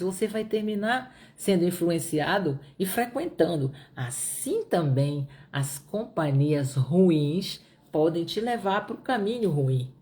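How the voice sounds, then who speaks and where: A woman's voice: fairly low pitch, warm tone. A middle-aged woman from Brazil's Northeast with a Pará accent, teaching on a Bible proverb in a conversational way, often asking her listeners "né?". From Brazilian Northeast (Piauí). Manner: conversational, repeats points, asks listeners "né?".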